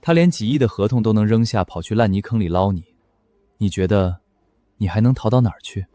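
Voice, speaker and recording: A man playing a blunt, teasing young best friend in an animated romance drama, full of pointed questions. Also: on the low side, brisk, dry recording, lightly acted. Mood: teasing, advising.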